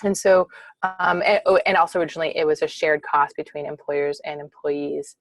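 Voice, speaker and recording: Low-voiced woman legislator, dry sound. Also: steady tone, brisk, no room sound. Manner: restarting, self-correcting. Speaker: state representative. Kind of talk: policy talk at an online town hall.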